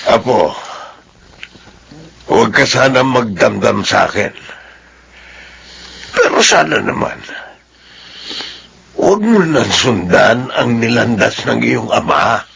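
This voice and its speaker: A man's voice: medium pitch, big pitch swings, slow, gravelly, pauses often, a bit bright, husky, full character voice. A man acting a kindly, worried old grandfather in a radio drama, earnest and admonishing. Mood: worried pleading.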